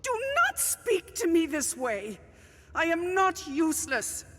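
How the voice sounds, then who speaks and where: A woman's voice: extremely high pitch, big pitch swings, slow pace, a bit bright, big-room sound, exaggerated voice. An actress playing a hurt, defiant woman desperate to prove herself, protesting in a dramatic video game. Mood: wounded, defiant. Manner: protesting, wounded.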